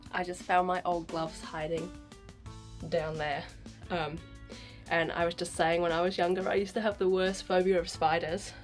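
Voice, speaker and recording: A woman's voice: fairly low pitch, flat delivery, normal speed, soft background music. A woman in her thirties chatting casually in a gardening vlog, stuffy-nosed and nasal from a cold, rambling with lots of ums. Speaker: gardening vlogger. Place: backyard greenhouse.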